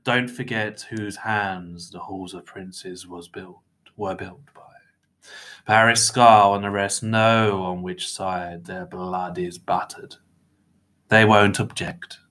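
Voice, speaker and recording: Smug, meddling little male villain for a storybook , acted by a man; mock-polite, drawn-out menace, sharp tone. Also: low voice, steady tone. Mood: quietly menacing. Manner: soft-spoken menace, drawn-out mocking politeness.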